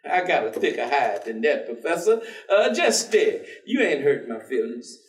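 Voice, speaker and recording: A man's voice: fairly high pitch, very animated, normal speed. An actor playing an earnest, persistent, folksy working man in a staged dramatic reading, speaking colloquially. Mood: coaxing. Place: theatre stage. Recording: echoey theatre, distant microphone.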